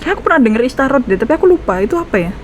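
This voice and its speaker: Excitable Indonesian young woman gamer. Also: high voice, very fast, slight background noise. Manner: excitable, chatty, laughs often. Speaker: gaming streamer. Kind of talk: casual let's-play reaction. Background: game music.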